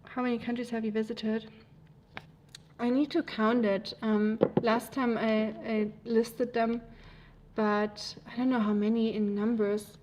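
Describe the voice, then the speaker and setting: A rambling, chatty woman with a German accent, her voice sitting a little high. She hosts a casual livestream and answers viewer comments. Faint room noise sits behind her. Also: level tone, unhurried, a little mellow, low-quality recording. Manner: rambling, chatty, laughs easily. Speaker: livestream host.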